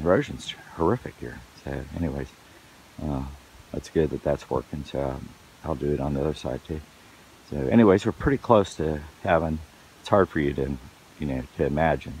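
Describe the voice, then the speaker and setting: A hoarse man rambling casually about his work on his wooded property, with lots of fillers. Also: a bass voice, darkish tone, a little hiss or hum, rough recording. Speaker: vlogger. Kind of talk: casual outdoor vlog narration.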